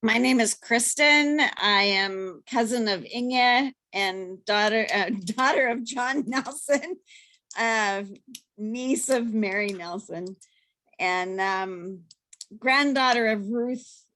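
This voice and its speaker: A woman's voice: fairly high pitch, slow pace, bright, forceful tone. A woman introducing herself through her family ties as a participant on a video call. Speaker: workshop participant.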